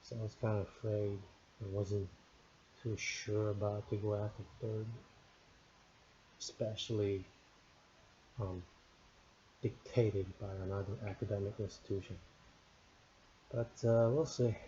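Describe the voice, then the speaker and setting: A man's voice: lowish voice, little pitch movement, a little slow, long pauses, warm tone, muffled recording, a little hiss or hum. A man of thirty-nine whose first language is Korean, giving a casual, thoughtful spoken reflection on a book, with many restarts and fillers. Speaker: book reviewer.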